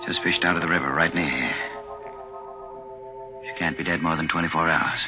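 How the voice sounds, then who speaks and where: Grave low-voiced cop. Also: male voice, fairly even intonation, normal speed. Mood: grim, sympathetic. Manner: solemn, plain-spoken. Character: grave, matter-of-fact male police officer. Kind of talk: 1940s radio thriller acting. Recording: muffled 1940s radio broadcast, music behind.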